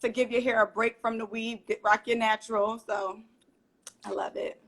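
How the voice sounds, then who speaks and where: A woman's voice: a little high, flat delivery, moderate pace, a bit bright. A woman with an American accent, raised in Philadelphia, chatting in a friendly, informal way as an interview guest. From born in Trinidad and Tobago, raised in Philadelphia, USA. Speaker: interview guest, small business owner and hairstylist. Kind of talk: casual conversation.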